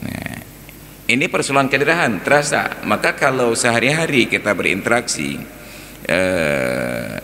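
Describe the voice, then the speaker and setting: A man's voice: mid-range voice, expressive, unhurried, creaky, pushed and bright. A man with an Acehnese accent giving an informal Islamic lecture, conversational, asking rhetorical questions and illustrating with everyday examples. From Aceh, Indonesia. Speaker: Islamic teacher giving a mosque study talk. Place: large reverberant mosque interior. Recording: echoey hall with background noise.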